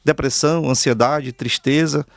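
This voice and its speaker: A Brazilian man hosts a casual interview, speaking earnestly in long flowing sentences. His voice sits at a middling pitch. The sound is dry and close. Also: fairly even intonation, normal speed, gravelly, no room sound, soft background music. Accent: Brazilian Portuguese. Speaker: talk show host and artist manager.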